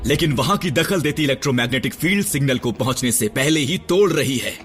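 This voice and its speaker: A man voicing a gruff older male robot medic in a cartoon dub, serious as he explains. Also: mid-range voice, expressive, quick pace, a bit bright, faint music behind, natural voice.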